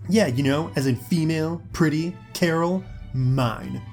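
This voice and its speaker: A man's voice: medium pitch, fairly quick, darkish tone, natural voice. A man voicing a big, jealous, taunting ninth-grade bully in an audio story with music behind. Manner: taunting, menacing.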